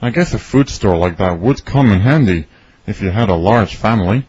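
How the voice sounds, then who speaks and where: Slightly husky man reading lines. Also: fairly low pitch, expressive, normal speed, a little mellow. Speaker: dialogue reader for English learners. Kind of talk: scripted conversation reading. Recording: dry, slightly dull studio recording.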